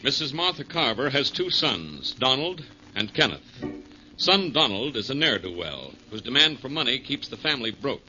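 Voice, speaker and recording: A man's voice: medium pitch, sing-song, normal speed. A man narrating a scripted 1940s radio drama as its announcer. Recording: dull 1940s radio recording. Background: loud-ish music.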